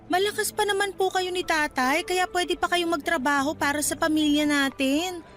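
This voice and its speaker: A woman's voice: extremely high pitch, steady tone, normal speed, fairly bright tone, faint music behind. A woman playing a hurt, respectful young adult daughter protesting plaintively in a Filipino radio drama. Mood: hurt, pleading. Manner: plaintive, pleading.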